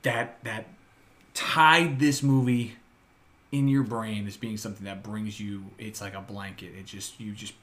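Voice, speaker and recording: A man's voice: lowish voice, steady tone, measured pace, a bit bright. A man co-hosting a casual podcast who laughs often and speaks reflectively. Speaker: podcast co-host. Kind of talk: casual chat.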